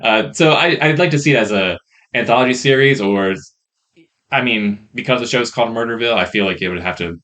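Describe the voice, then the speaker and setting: A man co-hosting a podcast, chatting casually in a rambling, hesitant way about what he has watched, on a rough microphone. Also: medium pitch, brisk, a bit bright. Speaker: podcast co-host reviewing films and shows. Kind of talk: casual chat. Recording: rough podcast mic audio.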